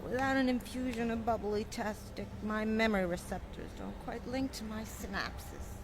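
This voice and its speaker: This is a woman acting a scatterbrained alien lounge drinker from a space game. Her delivery is theatrical and airy, with a sly streak, over clearly audible background noise. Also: on the high side, moderate pace, lightly acted. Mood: indignant, ditzy.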